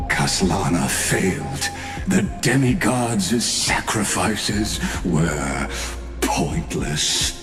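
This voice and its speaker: An actor voicing a condescending, philosophical machine villain in a video game, with a grandiose, theatrical and deliberate delivery. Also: male voice, a bass voice, heavily acted. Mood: smug. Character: condescending, philosophical male machine mastermind, calmly godlike villain. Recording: roomy echo under game music.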